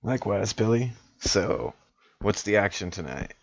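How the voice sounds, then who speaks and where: A man with a low voice plays a tabletop roleplaying game, improvising his lines casually in character. The sound is slightly dull and lo-fi. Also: lively intonation, measured pace, no echo.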